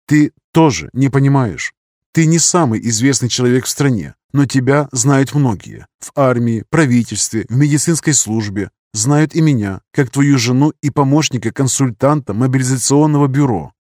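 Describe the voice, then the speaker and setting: Guy doing a soft earnest young wife, steady and firm, audiobook, dry close sound. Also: normal speed, no room sound, close to an everyday voice. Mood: resolute. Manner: soft, earnest, slightly raised pitch. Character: young woman, calm, resolute wife and mother. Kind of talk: audiobook character reading.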